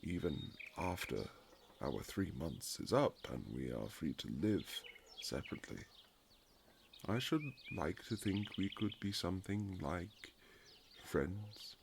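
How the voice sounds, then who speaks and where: A man playing a reserved, formal Regency husband who is awkwardly tender, speaking in halting period phrases in a romantic audio roleplay, with a gravelly voice. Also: deep voice, animated, noisy background, exaggerated voice. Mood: earnest, hesitant.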